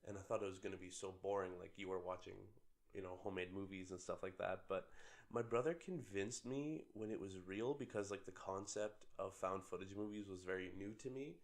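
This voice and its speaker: A man's voice: low-pitched, fairly quick. A young man co-hosting a casual podcast chat, sick with a cold and rambling with many filler words. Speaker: podcast co-host.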